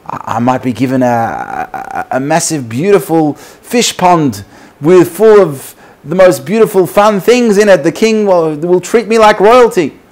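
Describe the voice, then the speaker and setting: A man voicing a naive, hopeful, excitable male fish in an orally told parable, with an emphatic manner. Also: mid-range voice, normal speed. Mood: excited, eager.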